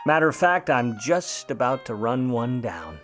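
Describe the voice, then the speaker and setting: A man's voice: mid-range voice, sing-song, unhurried, fairly bright tone, faint music behind. A man playing a wry 1950s private eye who talks and narrates in slangy old radio noir style. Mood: wry, flirtatious. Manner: wry, slangy, hard-boiled. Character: grudging wisecracking 1950s male private eye, hard-boiled narrator. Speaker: lead actor and narrator in a radio play.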